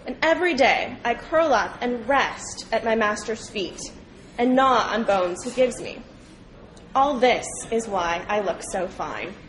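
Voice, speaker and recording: A woman's voice: a little high, animated, a little slow, slight background noise, low-quality recording. A woman voicing a well-fed, dutiful dog from a storybook fable, contented and matter-of-fact.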